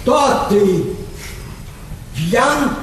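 Bossy old princess, slow, low-key orders, big echoey theatre, mic far away. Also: female voice, big pitch swings, a little hiss or hum, full character voice. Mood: cold, commanding. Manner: commanding, deliberate. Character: imperious old princess, curt mistress of servants. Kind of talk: theatrical stage acting. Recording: echoey theatre hall, distant mics.

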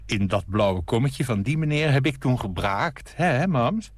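A man's voice: fairly low pitch, very animated, moderate pace, breathy edge. A man voicing a small boy in a storybook reading, frank, confiding and innocently matter-of-fact.

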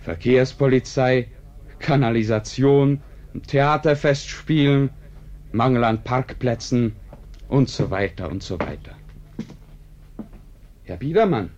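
An actor playing an urbane author who narrates a radio play with courteous, dry irony in measured phrases. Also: male voice, mid-range voice, a little mellow, lightly acted. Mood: courteous, ironic. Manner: courteous, dryly ironic, measured. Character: urbane, ironic male author narrating his own tale. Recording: dull, band-limited radio recording.

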